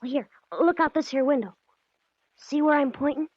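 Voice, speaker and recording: A woman's voice: sits high, normal speed, no echo, natural voice. A woman playing an earnest, secretive small-town American boy with hesitant kid slang in a 1940s radio drama. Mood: earnest, worried. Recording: dull old 1940s radio recording.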